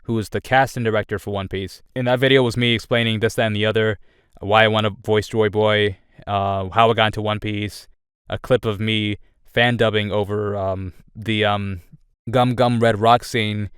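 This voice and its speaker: A young man speaks low and smooth, with a clean, dry closeness. He talks earnestly about his own path as a content creator. He rambles, often circling back and restarting his sentences. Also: flat delivery, moderate pace, no echo. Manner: earnest and candid. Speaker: YouTuber. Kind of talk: reflective personal update.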